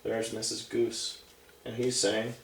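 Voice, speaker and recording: A man's voice: on the low side, completely flat delivery, slow. A man reading a picture book aloud to young children, conversationally, with chatty asides and questions to the listeners. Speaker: storybook reader. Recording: roomy echo, distant mic.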